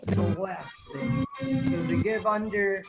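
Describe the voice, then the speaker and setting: A man playing an old-time radio announcer and host, reading the script in a halting, stumbling way. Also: high voice, expressive, over loud music, full character voice. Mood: announcing, promotional. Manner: halting, stumbling table-read delivery. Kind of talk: 1930s radio show read aloud. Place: virtual table read from home.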